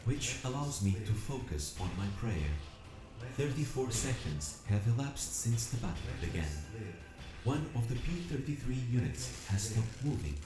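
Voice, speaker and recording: A man playing an earnest, solemn combat robot who longs for freedom, narrating an audio drama in measured, formal, reflective phrasing. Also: mid-range voice, big pitch swings, background noise. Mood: wondering. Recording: echoing voice over music. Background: music.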